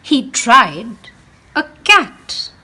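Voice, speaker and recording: Woman teacher reading a storybook to kids, bright husky voice, very slow and expressive. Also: fairly high pitch. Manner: speaks directly to young listeners. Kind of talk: reading a picture book aloud to children.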